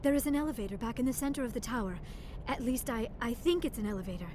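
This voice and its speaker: High-voiced, anxious young alien scientist played by a woman. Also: animated, fast, lightly acted. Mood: anxious, relieved. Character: anxious young female alien archaeologist, trapped and pleading. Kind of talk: video game voice acting. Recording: echoey, distant game audio with noisy ambience.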